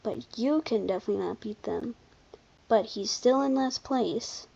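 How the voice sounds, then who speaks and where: A commentator giving casual, unscripted video commentary in an informal, halting way, often backtracking. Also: medium pitch, gravelly, mellow, slightly rough, lo-fi sound. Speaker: video creator presenting a ranking.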